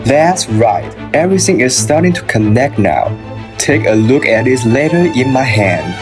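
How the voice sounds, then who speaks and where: A man acts a shrewd gentleman thief in an amateur radio play. His mid-range voice is self-assured and knowing, always leading with questions. Soft music sits faintly behind. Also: mid-range voice, lively intonation, moderate pace, natural voice. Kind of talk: amateur radio drama acting.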